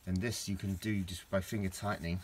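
A middle-aged man with a low voice, rambling through a casual hands-on garden demonstration with lots of restarts. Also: steady tone, fairly quick, slight background noise. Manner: rambling, with many restarts and fillers. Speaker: DIY vlogger. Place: outdoors in a garden.

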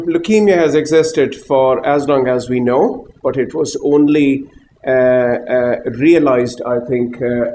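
A middle-aged man from Pakistan, a consultant haematologist, giving a conference talk to patients in a small room, halting and full of fillers. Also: medium pitch, dark tone, slight background noise, low-quality recording. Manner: hesitant, many fillers, rambling asides.

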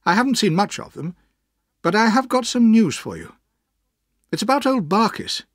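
A male narrator plays a proud, charming young gentleman of a storybook. He sounds self-assured and intense, with raised pitch that moves boldly, in a dry recording. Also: sing-song, normal speed, dry recording, exaggerated voice. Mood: brisk, casual. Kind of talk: audiobook character acting.

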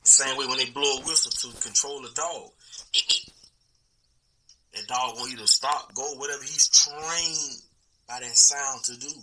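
A man's voice: medium pitch, a little slow, pauses often, sharp tone. An American man in his thirties giving an emphatic, casual, off-the-cuff explanation in street slang to the camera. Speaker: vlogger. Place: small room at home, indoors. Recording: noisy home recording with clicks.

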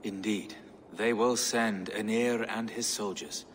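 An actor playing a pious, worried medieval bishop in a video game. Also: male voice, fairly low pitch, steady tone, takes it slowly, fairly bright tone, long reverb tail, slight background noise. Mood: informative. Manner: weary, pious, informative. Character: worried portly medieval bishop, kindly ally. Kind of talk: video game cutscene acting.

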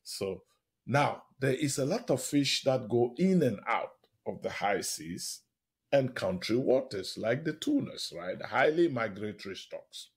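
Animated, husky-voiced man explaining with enthusiasm. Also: mid-range voice, measured pace. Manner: animated, emphatic, with short rhetorical tag questions. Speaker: professor, interview guest. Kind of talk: enthusiastic explanatory radio interview.

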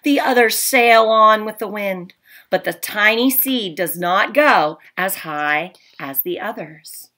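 A woman's voice: medium pitch, slow. A woman in her thirties, a teacher, reading a picture book aloud expressively for young children at home, recorded on a laptop webcam. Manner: gentle, expressive storybook reading. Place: home room, indoors.

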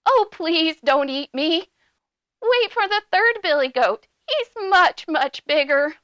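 A woman's voice: very high-pitched, animated, takes it slowly, fairly bright tone, no echo, pitched above the performer's own voice, heavily acted. A woman voicing the middle billy goat brother in a children's storybook, earnest, anxious and pleading.

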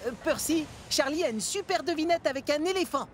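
Friendly little boy cartoon train, childlike n earnest, high pitched. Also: lively intonation, fairly quick, loud music behind the voice. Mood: cheerful.

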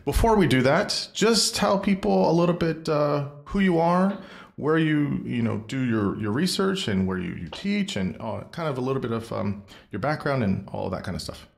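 A man's voice: mid-range voice, lively intonation, moderate pace, gravelly. A man hosting a podcast, introducing his guest and interviewing him enthusiastically with many fillers and restarts. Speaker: podcast host.

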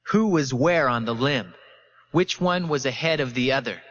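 A man's voice: medium pitch, moderate pace, a bit bright, in a large hall, lo-fi sound, close to an everyday voice. Man playing a controlled, brusque schoolboy interrogator. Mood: probing. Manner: casual, controlled, unemphatic questioning. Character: brusque teenage schoolboy interrogator, elaborately casual. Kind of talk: audiobook character reading.

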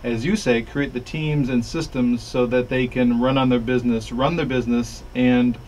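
An American man from upstate New York, a guest business coach on radio, explaining things in a friendly, measured way. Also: medium pitch, fairly even intonation, darkish tone, a little hiss or hum, low-quality recording. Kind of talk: radio interview. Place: small radio studio. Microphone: studio boom mic.

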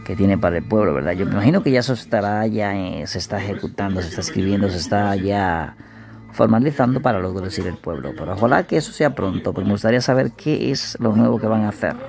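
A man's voice: fairly low pitch, creaky. A man in his thirties giving casual, chatty commentary to viewers from home on a webcam. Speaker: reaction streamer.

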